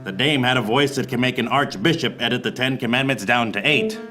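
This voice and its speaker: An actor playing a wisecracking, hard-boiled private eye, narrating a noir parody radio play in a deadpan, wry way. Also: male voice, medium pitch, brisk, loud music behind the voice, natural voice. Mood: wry, unruffled. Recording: noticeable background noise.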